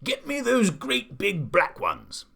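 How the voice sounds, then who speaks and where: A man's voice: sits high, huge pitch swings, takes it slowly, gravelly, pushed and bright, breathy edge, voice pushed up, heavily acted. A man voicing a blustering, pompous, hot-tempered old aristocratic duke from a storybook, bellowing his lines. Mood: demanding, impatient. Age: older. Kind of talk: storybook character voice.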